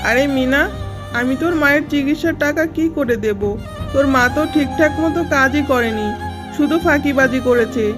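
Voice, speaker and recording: A haughty cartoon tigress boss, voiced by a woman, nags in a high voice. She is curt, stingy and bossy, with music playing faintly behind her. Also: moderate pace, natural voice. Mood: dismissive. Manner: nagging, curt. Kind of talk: Bengali children's cartoon dubbing.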